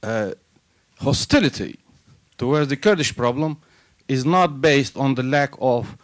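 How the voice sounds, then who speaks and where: A man's voice: mid-range voice, animated, measured pace. A man speaking from the audience at a panel session. He stumbles and restarts at first, then builds a firm argument and ends by asking the panel to respond. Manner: starts hesitant, then argues firmly. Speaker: audience questioner. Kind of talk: question from the floor.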